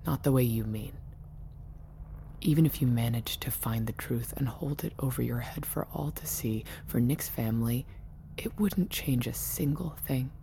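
Guarded lady ceo in a podcast drama, really deep mellow voice, coolly deliberate, persuasive. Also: creaky, slight background noise. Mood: cool, resigned. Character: controlling, weary female tech company boss, guarded and persuasive. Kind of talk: naturalistic audio drama acting.

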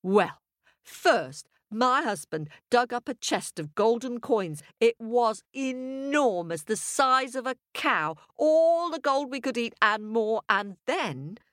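A woman voicing an excitable, chatty, boastful farmer's wife in a folk tale, gushing and rambling. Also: mid-range voice, normal speed, no room sound, clean studio sound, voice pushed up. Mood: proud, gushing. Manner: gushing, breathless exclamations, rambling. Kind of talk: storybook character voice.

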